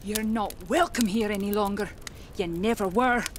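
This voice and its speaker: An actress playing an eccentric, flighty and solemn psychic medium in an audio horror drama, with flowery, genteel speech. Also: female voice, medium pitch, normal speed, a little hiss or hum, close to an everyday voice. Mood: commanding.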